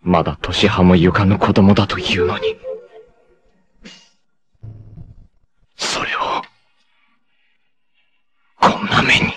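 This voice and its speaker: An actor playing a proud, passionate, fiercely protective martial-arts warrior in an anime, with a dramatic, terse, masculine delivery. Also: male voice, low-pitched, steady tone, a little slow, breathy edge, heavily acted. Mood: anguished. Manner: dramatic, terse, masculine, swinging from hushed to shouting.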